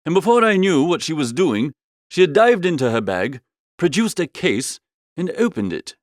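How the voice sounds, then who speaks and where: A man voicing an amiable, flustered upper-class young gentleman, chattily narrating a comic audiobook in old-fashioned slang. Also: on the high side, big pitch swings, normal speed, no echo, clean studio sound. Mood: amused narrating.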